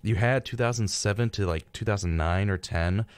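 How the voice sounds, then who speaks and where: A man's voice: fairly low pitch, moderate pace, clean tone, no room sound. A young man co-hosting a radio show, chatting casually and jokily and acting out imagined remarks. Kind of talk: casual chat.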